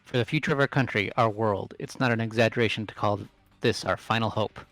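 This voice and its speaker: A man's voice: lowish voice, fast talker, a bit bright, no room sound, faint music behind, lightly acted. A man playing a solemn, resolute school headmaster delivering grave lines in a video game readthrough. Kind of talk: amateur game voice acting.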